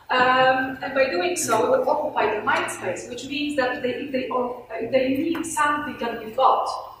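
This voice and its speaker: Female conference speaker, Polish accent, higher voice, halting informal delivery, recorded in a very reverberant room. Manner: hesitant, halting, frequent searching for words. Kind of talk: informal business presentation. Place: indoor event room with audience. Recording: very echoey room, distant mic, clear noise.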